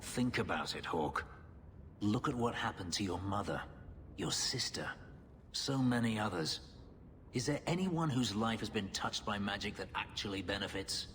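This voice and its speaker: A man playing a brooding, bitter freed slave warrior in a video game, speaking in a solemn, measured way. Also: lowish voice, expressive, a bit bright. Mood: bitter, earnest. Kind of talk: dramatic video game acting. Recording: long hall reverb, background noise.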